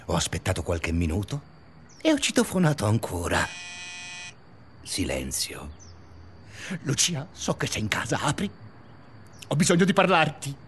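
An actor playing an obsessive, bitter stalker confessing in a brooding, intimate radio drama monologue. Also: male voice, medium pitch, huge pitch swings, unhurried, long pauses, fairly bright tone, a little hiss or hum. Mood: agitated, pleading. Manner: brooding, intimate narration with agitated surges. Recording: music under the voice. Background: music.

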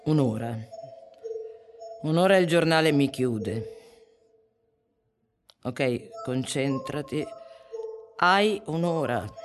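An actor playing a harried male journalist who mutters to himself, halting and correcting his words, in a radio drama. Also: fairly high pitch. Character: harried male journalist struggling against a deadline.

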